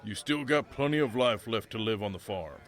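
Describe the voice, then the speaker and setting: A man's voice: fairly low pitch, fairly quick, a bit bright, natural voice. A man playing a genial, plainspoken country farmer and father in a comic audio drama. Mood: fatherly.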